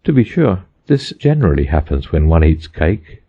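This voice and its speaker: A man's voice: low-pitched, sing-song, normal speed, slightly rough, muffled recording. A man reading a children's storybook as scripted audiobook narration. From United States.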